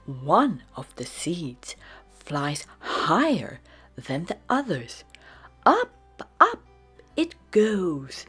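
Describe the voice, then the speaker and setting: Very slow, breathy low-voiced woman storyteller. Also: soft background music. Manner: slow, deliberate, expressive storytelling. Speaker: storybook narrator. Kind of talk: children's read-aloud narration.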